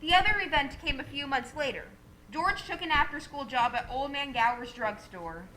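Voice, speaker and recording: Actress doing a brisk boss angel lady, radio play, high bright voice, kindly but in charge. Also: lively intonation, slight background noise, low-quality recording. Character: calm female superintendent of angels. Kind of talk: old-time radio play acting.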